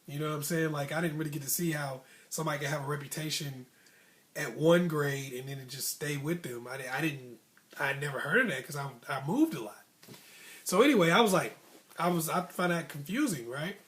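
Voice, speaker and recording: A man's voice: a little high, fairly quick. An American man telling a personal story casually, in slangy speech, acting out bits of dialogue as he goes. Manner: animated slangy talk, acting out childhood dialogue. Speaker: storyteller host.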